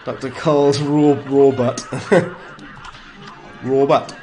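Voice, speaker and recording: A man's voice: mid-range voice, fairly even intonation, slow, darkish tone, a little hiss or hum. A man with a Welsh accent streaming a game live, chatting casually, greeting viewers and reacting aloud to the play. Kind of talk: live gameplay commentary and chat replies.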